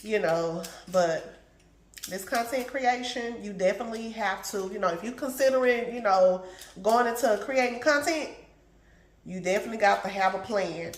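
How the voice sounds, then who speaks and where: A woman's voice: mid-range voice, normal speed, reverberant. A middle-aged woman vlogger chatting casually while she cooks in her home kitchen, sounding congested and calling her viewers y'all and baby. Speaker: lifestyle vlogger. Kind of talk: casual chat while cooking.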